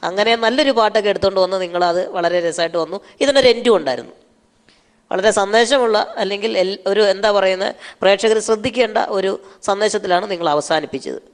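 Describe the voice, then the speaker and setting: Hesitant man giving judge's feedback. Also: high-pitched. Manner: halting, searching for words. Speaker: comedy show judge. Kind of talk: unscripted judging feedback.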